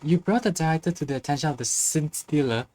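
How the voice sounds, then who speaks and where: A man's voice: fairly high pitch, quick pace, warm tone, no echo. A man commenting live on a game as a streamer, reacting to the story on a close mic.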